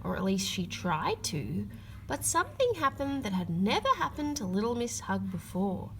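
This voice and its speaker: A woman reading a children's picture book aloud, with expressive emphasis and drawn-out key words. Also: medium pitch, a little hiss or hum, rough recording. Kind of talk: read-aloud storytelling for children.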